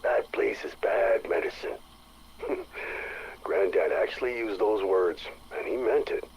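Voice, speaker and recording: A man's voice: deep voice, animated, measured pace, vocal fry, darkish tone, husky, full character voice, poor audio. A man playing a wry, uneasy security chief who muses plainly and informally in a video game audio log. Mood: musing. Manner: wry, musing, plain-spoken.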